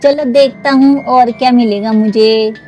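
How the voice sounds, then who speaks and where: A woman's voice: a little high, fairly even intonation, measured pace, darkish tone, soft background music, natural voice. A woman voicing a sly, greedy male cook in a toy cartoon, gloating as he talks to himself.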